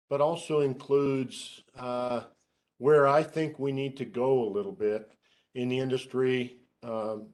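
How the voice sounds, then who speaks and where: A middle-aged man giving a seminar lecture to students, who calls his own voice monotone and drops dry joking asides while he asks the room questions. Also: medium pitch. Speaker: research scientist giving a seminar.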